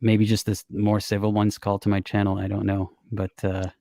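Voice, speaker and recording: A man from the Middle East, a native Arabic speaker, hosting a casual call-in livestream in a calm, even-tempered way. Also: fairly low pitch, normal speed, gravelly, no echo, studio quality. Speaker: livestream host.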